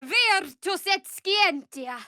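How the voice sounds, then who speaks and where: An actress playing a guarded, dryly sardonic young woman cleric of a dark goddess in a fantasy video game. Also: extremely high pitch, lively intonation, slow pace, no room sound, studio quality, heavily acted. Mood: forceful incantation.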